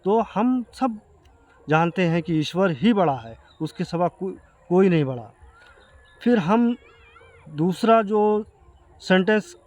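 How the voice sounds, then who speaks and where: A man with an Indian accent giving an earnest explanation to viewers, speaking haltingly and repeating himself. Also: high voice, expressive, a little mellow. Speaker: video creator addressing viewers. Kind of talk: explanatory talk to camera.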